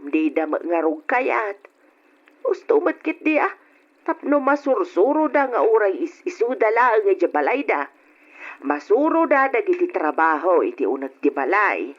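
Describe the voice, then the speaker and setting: A woman's voice: mid-range voice, sing-song, measured pace. A woman playing an elderly, practical grandmother in a radio drama, fretful and firm with her husband. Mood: firm, disapproving. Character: elderly grandmother, concerned, practical, disapproving wife. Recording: dull lo-fi radio drama recording.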